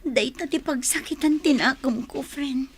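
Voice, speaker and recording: A woman's voice: high-pitched, unhurried. A woman playing an earnest, stubborn young adult daughter in a Filipino radio drama.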